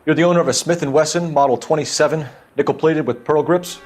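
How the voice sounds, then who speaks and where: A man's voice: on the high side, fast talker, darkish tone, breathy edge. A man playing an earnest young police officer in a video game, speaking in a formal, clipped, authoritative way. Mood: formal, confronting. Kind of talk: video game cutscene acting. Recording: music behind the voice.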